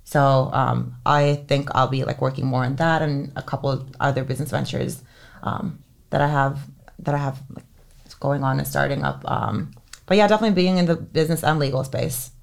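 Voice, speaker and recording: A woman's voice: a bass voice, fairly quick, gravelly. A woman in her thirties from Finland, an entertainment lawyer talking as a podcast guest in a chatty way, full of "like" and "um". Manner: chatty, heavy use of "like" and "um" fillers. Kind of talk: casual interview chat.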